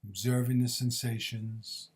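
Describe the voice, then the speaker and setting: A man's voice: mid-range voice, flat delivery, husky. Man guiding a mindfulness meditation. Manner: measured, gently instructing, pausing between short phrases. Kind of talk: guided body scan meditation.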